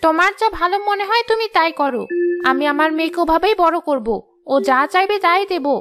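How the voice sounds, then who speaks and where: Woman as very shrill-pitched haughty young mother. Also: expressive, brisk, fairly bright tone, faint music behind. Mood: insistent. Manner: haughty, insistent. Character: proud, indulgent, argumentative young mother and sister-in-law. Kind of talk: Bengali cartoon moral-story voice acting.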